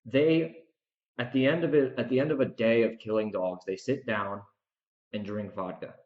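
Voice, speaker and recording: A man hosting a podcast as its author, giving an unscripted, opinionated monologue, emphatic, with repeated words and dramatic pauses. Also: mid-range voice, fairly even intonation, roomy sound, highs cut off.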